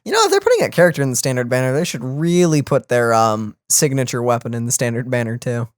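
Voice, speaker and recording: A man's voice: medium pitch, sing-song, fast talker, no echo. A man co-hosting a game reaction video, laid-back, mostly giving short replies and dry quips. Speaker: co-host of a game reaction channel. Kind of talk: casual reaction chat.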